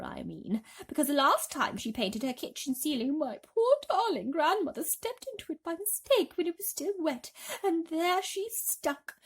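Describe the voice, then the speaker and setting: A woman's voice: high voice, voice pushed up, exaggerated voice. A woman voicing a nervous, mournful female spider from a storybook, rambling dramatically and plaintively.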